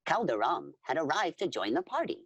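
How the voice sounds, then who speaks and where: A woman playing an eager alien storyteller who narrates adventure formally and dramatically, with a husky voice and spoken sound effects. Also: sits low, sing-song, brisk, no room sound, muffled recording, exaggerated voice. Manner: formal, repeats full names instead of pronouns.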